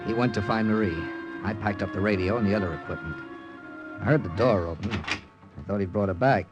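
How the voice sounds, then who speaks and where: Man acting a tough army captain, low voice, American accent, clipped, hard-boiled, weary, radio drama narration over music. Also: fairly quick, lo-fi sound, natural voice. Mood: grim, reflective. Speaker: narrator and lead character. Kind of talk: 1940s radio acting with first-person narration.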